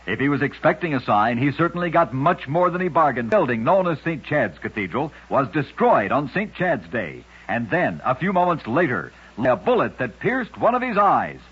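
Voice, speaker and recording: A man's voice: medium pitch, sing-song, normal speed, hardly any pauses, breathy edge. A man reading scripted short stories for a radio show with an emphatic, dramatic storytelling delivery. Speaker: radio narrator of oddity stories. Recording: dull lo-fi old radio recording.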